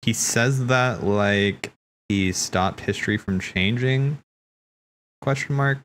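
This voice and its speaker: A man streaming casual, rambling commentary on game cutscenes, thinking aloud in a halting way and repeating himself. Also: mid-range voice, fairly bright tone, a little hiss or hum.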